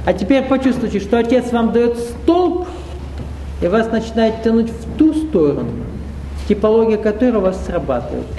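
A man with a high, warm voice teaches a spiritual seminar to a live audience. He talks conversationally, asking the room questions and slipping in wry asides. The sound carries the echo of a roomy hall. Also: animated, normal speed, a little hiss or hum.

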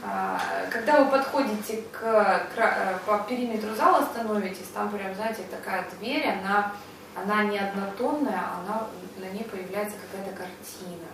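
A woman leading a guided visualization in unscripted speech, halting and rewording as she searches for words, recorded echoey and distant. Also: mid-range voice. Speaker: guide of a group visualization session. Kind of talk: unscripted guided meditation with casual discussion. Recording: very echoey, distant mic, clear background noise.

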